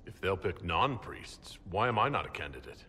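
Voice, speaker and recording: A confident male leader from a fantasy game. His voice is fairly low and moves around a lot, with a direct, probing manner. Some noise runs behind it. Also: big pitch swings, moderate pace, pushed and bright, exaggerated voice. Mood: incredulous. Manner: direct, questioning. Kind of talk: dramatic video game dialogue.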